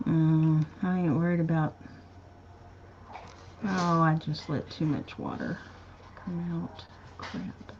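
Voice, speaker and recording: Slow-talking woman host. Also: low-pitched, flat delivery, highs cut off, a little hiss or hum. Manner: easygoing, laughs often, reads viewer comments aloud. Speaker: co-host of a live chat show. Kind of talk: casual livestream chat.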